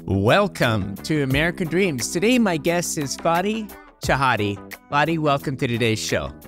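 Halting older male podcast host. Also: on the high side, big pitch swings, a bit bright, soft background music. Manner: halting, many "uh"s. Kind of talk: interview hosting. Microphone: podcast microphone on video call.

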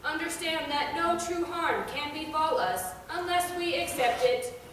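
A serene oracle acted by a woman declaims solemnly in a high voice. The words come as a deliberate incantation in a very echoey theater, picked up from far off. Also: a bit bright, slight background noise. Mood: solemn, mystical. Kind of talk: stage monologue. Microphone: far camera.

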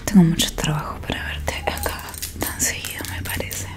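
A woman, doing a soft ASMR roleplay as a technician, murmuring deliberately to one listener close to the microphone. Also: music in the background. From Argentina. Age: 20s. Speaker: ASMR creator playing a robot repair technician. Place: small dim room indoors with curtains. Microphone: close microphone in front of her.

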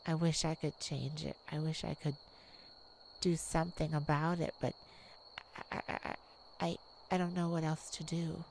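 A woman playing a tenderhearted warrior mage in a soft ASMR romantic roleplay, speaking in a hushed, soothing, halting way. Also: sits low, level tone, a little hiss or hum, rough recording. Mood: tender, worried. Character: tenderhearted young warrior mage comforting a werewolf lover. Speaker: audio roleplay performer.

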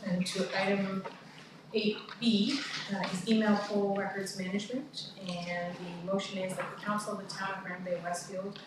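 A woman with a Canadian accent chairing a town council meeting, reading motions and calling votes in a brisk, procedural way. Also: medium pitch, flat delivery. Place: large council chamber. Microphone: far room mic. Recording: echoey room from far off, background noise.

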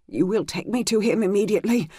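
A woman's voice: mid-range voice, quick pace, dark tone, breathy edge, no echo, clean studio sound, voice pushed up, natural voice. A woman voicing a reclusive woman healer who gives clipped, commanding orders.

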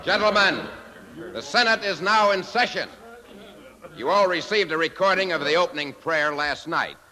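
A high, very sharp officious senate chairman, ringing through a long hall echo. He makes loud, formal announcements in fifties radio-drama style. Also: moderate pace. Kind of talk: 1950s radio drama acting.

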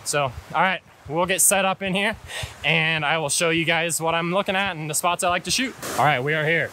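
A young American man with a fairly high, bright, forward voice explains things in an easygoing vlog style. He is outdoors on an action camera, with hiss and rushing water behind him. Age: 20s. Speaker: hiking and photography guide. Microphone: action camera at arm's length.

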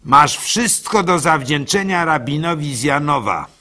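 A man's voice: on the high side, expressive, measured pace, sharp tone. A man voicing an insistent, probing village rabbi in a radio play.

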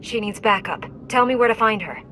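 A woman's voice: a little high, a little fast, a bit bright, a little hiss or hum, lightly acted. A young woman playing a resolute female knight in a video game cutscene, speaking in a firm, formal, composed way. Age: young adult.

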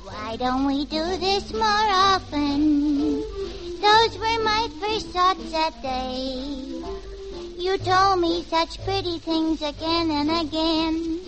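A woman's voice: very high-pitched, slow, poor audio. A woman playing a scornful, very young girl in a 1940s radio comedy song. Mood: peeved, pouting. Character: very young little girl, scornful of her boyfriend. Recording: old 1940s radio broadcast, music behind.

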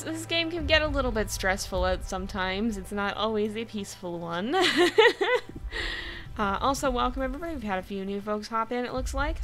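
A woman's voice: medium pitch, fairly bright tone. A woman streaming a game, chatting casually with her viewers and her game, laughing often, with a mixed American accent that slips toward British. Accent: mixed American, slightly British-tinged. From California. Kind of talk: casual game livestream chat.

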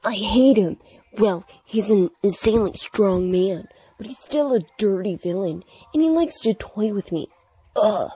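A woman voicing a gruff, hot-tempered young male hero and devoted husband in a comic dub, blunt and sometimes hesitant. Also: mid-range voice, animated, measured pace, dark tone, dry recording, poor audio. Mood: irritated.

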